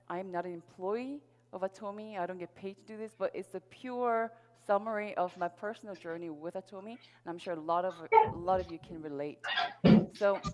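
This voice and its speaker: Female stage presenter, middle-aged, Korean native speaker. Upbeat, audience-rallying sales talk with personal storytelling, heard through a hall's long reverb. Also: medium pitch. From Seoul, South Korea. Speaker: sales presenter at a network marketing event. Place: large event hall on stage. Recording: reverberant hall PA sound.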